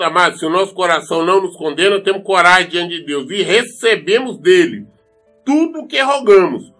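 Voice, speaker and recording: High-pitched Brazilian man preaching a sermon. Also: sharp tone. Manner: earnest, admonishing; emphatic, repeats phrases for stress. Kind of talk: sermon with scripture reading.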